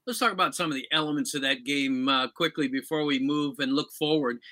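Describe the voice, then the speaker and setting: Very bright, higher-voiced male sports host. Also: a little fast. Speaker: podcast host, interviewer. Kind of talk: conversational sports interview.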